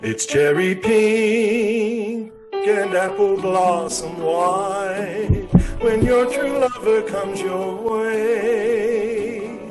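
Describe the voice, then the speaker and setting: A man crooning an old popular standard as a singer, with music behind him. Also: high-pitched. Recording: singing over loud musical backing. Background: loud music.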